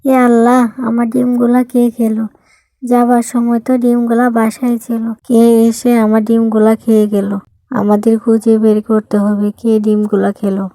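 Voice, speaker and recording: Grieving cartoon mother bird, acted by a woman: fairly high, very monotone, plaintive. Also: moderate pace, no room sound, close to an everyday voice. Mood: distressed, plaintive. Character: grieving mother bird robbed of her eggs. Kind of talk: cartoon fable dialogue.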